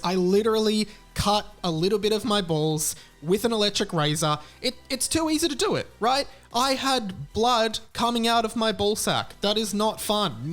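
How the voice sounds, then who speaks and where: A man with a notably high voice talks like a casual podcast host. His delivery is frank and informal, and he likes to hammer a point by repeating it. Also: a bit bright. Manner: informal, frank, emphatic repetition. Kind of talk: casual podcast talk and chatty ad read.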